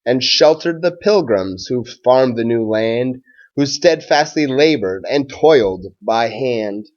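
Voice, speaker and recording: A man who is a schoolteacher doing a read-aloud for children and talking to pupils in a measured, simple way. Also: medium pitch, lively intonation, muffled recording.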